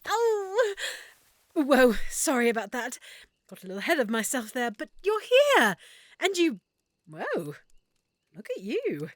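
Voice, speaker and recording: A woman playing an affectionate, excitable half-elf shopkeeper in a roleplay audio drama, speaking expressively and warmly to the listener. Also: sits high, measured pace, long pauses, dry recording, studio quality, heavily acted. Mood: excited, relieved, scolding. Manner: expressive, warm, reacting with feeling.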